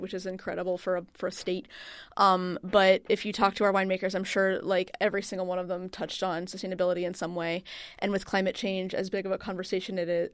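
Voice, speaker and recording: A woman's voice: medium pitch, dry recording, studio quality. A woman answering as an interview guest in a casual, chatty, rambling way, with plenty of "like" and "you know". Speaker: interview guest, wine region marketing manager.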